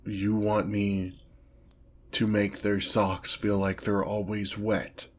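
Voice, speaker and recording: A man playing an imperious ancient demon lord in an ASMR fantasy roleplay, speaking in a pompous, theatrical, drawn-out manner, menacing and easily exasperated by mortals. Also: lowish voice, monotone, slight background noise. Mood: incredulous, exasperated.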